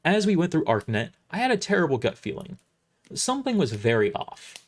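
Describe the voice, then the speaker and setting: A man's voice: on the high side, wildly swooping pitch, brisk. A man reading first-person science fiction narration live, now and then stumbling over the script and rereading words. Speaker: storyteller.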